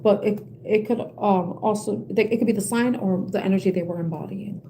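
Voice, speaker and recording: A young woman giving a collective tarot reading with a soothing voice, talking gently and rambling with many fillers and restarts. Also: mid-range voice, brisk, slight background noise. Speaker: tarot reader.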